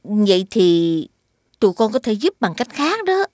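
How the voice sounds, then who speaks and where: A woman's voice: mid-range voice, big pitch swings, unhurried, a little mellow, no echo, studio quality. A woman voicing a caring, sensible mother in a children's cartoon, advising in a southern Vietnamese accent. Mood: gently advising. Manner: questioning, advising.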